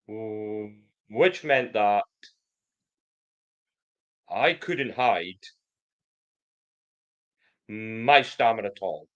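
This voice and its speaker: A man who stammers gives a prepared personal testimony in slow, deliberate, controlled speech, with occasional blocks on sounds. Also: lowish voice, lo-fi sound. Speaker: programme member giving a testimonial.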